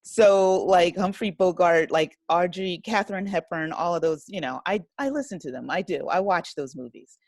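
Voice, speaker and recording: American woman, lowish voice, casual interview chat, starts and restarts a lot, really dry sound. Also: normal speed, hardly any pauses, darkish tone, no echo. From United States, a rural town. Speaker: interview guest, writer and director of an audio drama.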